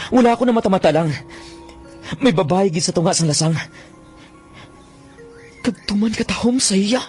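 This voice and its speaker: A man's voice: sits high, darkish tone. A man acting a brash, easily awestruck young bird trapper in a radio drama, theatrical, with a Western Visayan Filipino accent. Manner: theatrical, stammering when startled. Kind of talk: radio drama acting with first-person narration.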